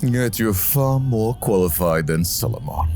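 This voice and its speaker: A man's voice: lowish voice, animated, a little slow, creaky, darkish tone, soft background music. A man playing a stern, realistic demon of royal rank in an audio drama, speaking in a sober, dry, formal manner.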